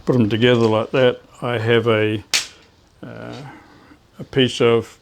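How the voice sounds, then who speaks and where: A man's voice: medium pitch, flat delivery, creaky, background noise. Hesitant man giving a hands-on how-to. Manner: hesitant, halting, talking while working with his hands. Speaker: ham radio hobbyist presenting DIY tips. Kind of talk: hands-on how-to demonstration, casual.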